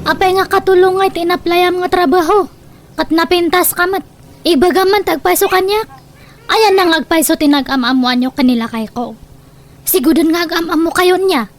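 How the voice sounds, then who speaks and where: A woman's voice: extremely high pitch, normal speed. A woman with an Ilocano accent playing a jealous, suspicious, nagging wife in a comedic radio drama, whiny and accusatory. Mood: prying. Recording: faint background noise.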